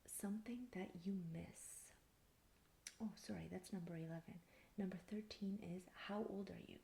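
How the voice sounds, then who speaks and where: A woman in her mid thirties speaking in a soft, breathy near-whisper, calmly and without hurry, answering personal questions in an ASMR style. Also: medium pitch. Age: thirty-four. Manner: calm, unhurried. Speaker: ASMR video creator. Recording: faint background hiss.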